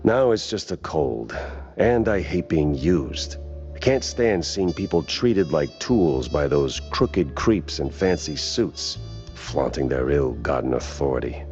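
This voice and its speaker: Deep-voiced, hardboiled noir mouse detective. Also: male voice, lively intonation, takes it slowly, darkish tone, highs cut off, faint music behind, heavily acted. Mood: bitter, weary. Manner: dry, wisecracking noir delivery. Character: hardboiled, world-weary cartoon mouse private detective. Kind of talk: 1930s noir cartoon game acting.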